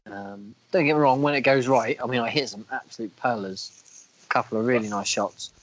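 A man with a croaky voice from a cold, co-hosting a podcast in casual, self-deprecating chat. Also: mid-range voice, brisk, rough recording. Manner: chatty, self-deprecating, a bit crude. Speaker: podcast co-host.

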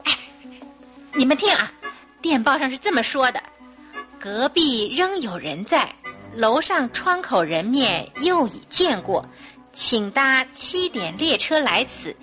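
A busybody housekeeper in a radio play. Her high, bright voice swoops about with merry, exclamatory excitement, over light music. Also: slow, exaggerated voice. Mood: excited, reciting. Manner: gleeful, exclamatory. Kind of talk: radio drama acting.

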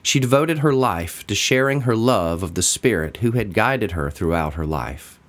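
A man reading a scripted daily devotional in a measured, deliberate way with pauses between phrases. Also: on the low side, lively intonation.

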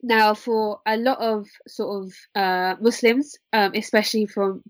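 Casting: young woman, mid-pitched, earnest podcast guest explaining conversationally, close dry recording. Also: steady tone, a little slow, dry recording. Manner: earnest, explanatory, hedging with "sort of". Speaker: podcast guest, mental health worker.

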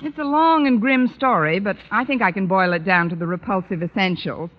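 Actress as dry, wisecracking schoolteacher, muffled recording. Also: female voice, medium pitch, fairly quick, lightly acted. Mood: deadpan, wry. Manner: dry, sardonic delivery. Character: wry, wisecracking lovelorn schoolteacher, a woman. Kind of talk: 1940s-50s radio comedy acting. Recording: muffled old radio recording.

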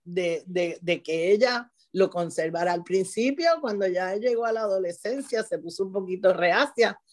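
A woman's voice: medium pitch, normal speed. Woman, middle-aged, Puerto Rican accent, interview guest, frequent restarts and tag questions. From Puerto Rico. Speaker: guest, teacher and author. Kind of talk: conversational interview.

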